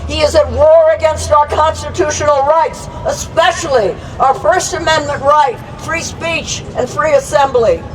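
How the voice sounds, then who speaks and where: A woman with an American accent delivering an impassioned, emphatic, deliberate anti-war speech at an outdoor rally. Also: high voice. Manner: emphatic, deliberate oratory with repeated phrases. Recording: outdoor rally, distant, crowd and street noise.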